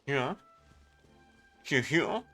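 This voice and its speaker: A man's voice: a little high, expressive, very slow, a bit bright. A man giving casual, offhand live commentary as he streams a game, often talking to himself. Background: game music.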